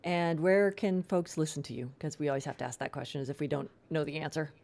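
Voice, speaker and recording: A woman's voice: low-pitched, quick pace, gravelly, darkish tone. A woman hosting a casual podcast interview, encouraging her guest with brief interjections.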